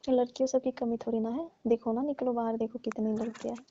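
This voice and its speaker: A woman with a fairly high yet very mellow voice talks on the phone in a detached, dismissive way. Her delivery is casual, and the call recording is muffled and lo-fi. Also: fairly even intonation, quick pace. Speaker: call partner breaking off a relationship.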